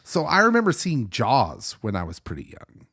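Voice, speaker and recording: An American man in his forties hosting a relaxed podcast conversation. His pitch swings widely as he gets excited, and he laughs easily. His voice sits at a middle pitch. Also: moderate pace, husky. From United States. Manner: laughs often, excitable, playful. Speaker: podcast host. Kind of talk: casual podcast chat.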